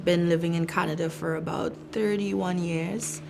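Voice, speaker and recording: A woman's voice: fairly low pitch, fairly even intonation, moderate pace, gravelly, noisy background. A middle-aged woman from Trinidad and Tobago, a filmmaker reflecting on her work in a thoughtful interview with many fillers and restarts. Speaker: filmmaker, arts director.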